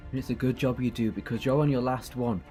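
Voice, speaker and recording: A man's voice: medium pitch, steady tone, quick pace, mellow, natural voice. Guy playing a quirky kind time traveller in an audio drama, earnest, bit fussy and wry, music under it. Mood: earnest, self-sacrificing. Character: quirky, compassionate time-travelling hero, pacifist and talkative.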